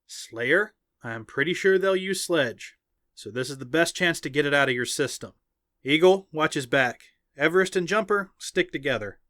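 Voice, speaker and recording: Man voicing a brisk, commanding female team captain. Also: a little high, animated, pushed and bright, no room sound, studio quality, voice pushed up. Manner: commanding, clipped. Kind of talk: audiobook character dialogue.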